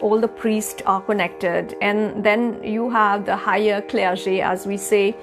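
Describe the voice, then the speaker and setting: Mauritian lady priest giving a soft humble talk, lots of pauses, music going in the background. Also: mid-range voice, steady tone. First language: Bhojpuri. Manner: humble, gentle, many hesitations. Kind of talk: bilingual spiritual teaching video. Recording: music playing clearly behind her.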